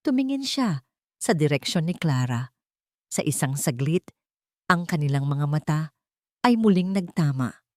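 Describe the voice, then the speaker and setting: A woman with a fairly low voice narrates a romantic drama. Her delivery is measured and dramatic, set out in short phrases. The recording is dry and close. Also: very animated, darkish tone, no room sound. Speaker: story narrator.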